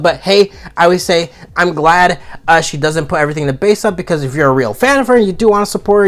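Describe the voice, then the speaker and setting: A man with a froggy, sore-throated voice from being sick, giving a blunt, profane comedic review in a casual monologue. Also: on the high side. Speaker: video reviewer.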